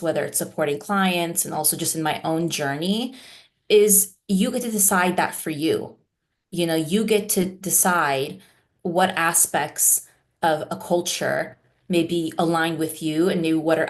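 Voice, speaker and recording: A woman therapist speaks reflectively in an interview. Her voice sits somewhat low, and she works her way through ideas aloud. She keeps checking in with "you know" and "right?" as she goes. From United States. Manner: thoughtful, many "you know" and "right?" fillers. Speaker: therapist guest.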